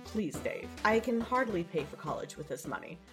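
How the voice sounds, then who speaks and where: Woman acting a wry, haughty young lady, expressive. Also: fairly low pitch, moderate pace, faint music behind. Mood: dry sarcasm. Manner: dry, mock-formal, aloof. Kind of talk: audio drama acting.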